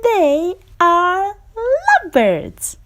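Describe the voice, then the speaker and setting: A woman voicing a cheerful, helpful little pig in a children's picture book, speaking in a drawn-out, matter-of-fact way. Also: extremely high pitch, big pitch swings, a bit bright, voice pushed up, exaggerated voice.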